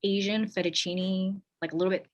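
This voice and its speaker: A woman's voice: medium pitch, steady tone, fairly quick. A young woman with an American accent, a podcast guest, chatting casually with many fillers. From born in Taiwan, raised in the United States.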